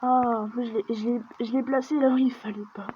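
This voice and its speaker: A woman talks her way through a video game in a loose, chatty let's-play style, often sinking into a near-whisper as she mutters to herself. Her voice sits on the high side. The recording is muffled and lo-fi, with a clear steady noise running underneath. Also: a little fast. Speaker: gaming video creator. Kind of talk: casual let's-play commentary. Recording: dull lo-fi mic with steady background noise.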